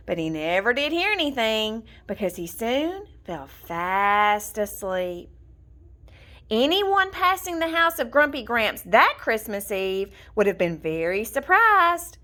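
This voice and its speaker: A woman reading a children's storybook aloud in an expressive, deliberate storytelling manner. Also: on the high side. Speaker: storybook reader. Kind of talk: scripted children's story narration, read aloud.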